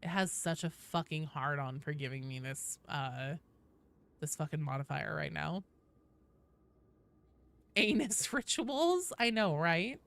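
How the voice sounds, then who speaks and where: A woman's voice: deep voice, normal speed, creaky, fairly bright tone. A woman hosting a game livestream, chatting casually and informally with viewers, swearing freely. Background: faint video game sounds.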